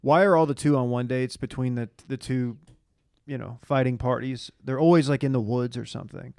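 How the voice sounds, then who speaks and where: A man's voice: medium pitch, fairly even intonation, normal speed, creaky, darkish tone, smooth, no room sound. A man co-hosting a podcast with casual, joking banter and plenty of questions. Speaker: podcast co-host.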